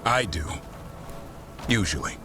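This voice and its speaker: A man playing a gruff, wary monster-hunting warrior with a dry, guarded manner in a fantasy video game. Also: lowish voice, lively intonation, takes it slowly, background noise. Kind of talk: video game cutscene voice acting. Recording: game music under the voice.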